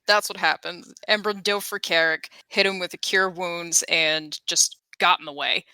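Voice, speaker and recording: Bright, lowish-voiced woman gaming at a table. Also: steady tone, normal speed, clean tone, no room sound, rough recording. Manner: wry, conversational. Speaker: player in a tabletop roleplaying game. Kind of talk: casual table talk.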